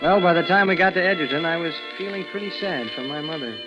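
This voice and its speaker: A man's voice: on the high side, quick pace, lightly acted, poor audio. A young man in a 1940s radio drama playing an awkward, eager-to-please teenage boy, stammering and hesitant. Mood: wistful narration. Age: young adult. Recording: 1940s radio broadcast with music.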